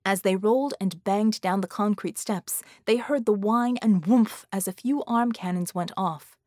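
A woman's voice: medium pitch, a little slow, a little mellow, dry recording, clean studio sound. A woman reading an action story as an audiobook narrator, in a dramatic scripted style.

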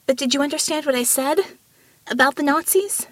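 A woman's voice: high-pitched, fairly quick, no room sound, voice pushed up, natural voice. A woman voicing an earnest female police detective who pleads insistently and babbles with urgency in an audiobook story. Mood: anxious, questioning.

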